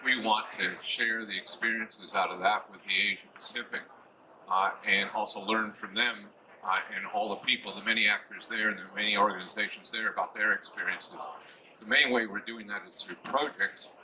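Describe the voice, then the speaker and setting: A man with a somewhat low voice and a very bright tone chairs a conference session. He speaks in a measured way, with occasional filler hesitations. Faint background noise sits under his voice. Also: steady tone, low-quality recording. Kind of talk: introducing a speaker.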